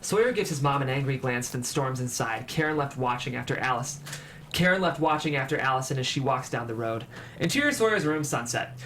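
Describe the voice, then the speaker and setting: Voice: medium pitch, fairly quick, a bit bright, a little hiss or hum. A narrator reading scene headings and stage directions at a screenplay table read, in scripted narration.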